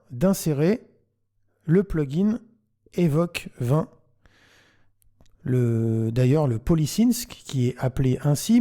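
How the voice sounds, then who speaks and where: Man, very mellow dark tone, slightly high pitch, tutorial host, explanatory step-by-step delivery. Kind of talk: software tutorial narration.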